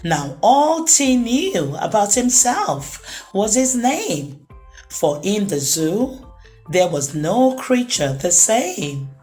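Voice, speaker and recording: A woman narrating a children's storybook as a storytime host, with expressive, deliberate phrasing for young listeners. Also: mid-range voice, soft background music, poor audio. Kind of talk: children's storybook read-aloud, rhyming narration.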